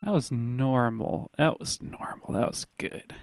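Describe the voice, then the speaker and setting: A man's voice: mid-range voice, animated, a little slow, very noisy. A man hosting a casual prank-call podcast, with a sore throat, talking in an easygoing, meandering way. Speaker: podcast host.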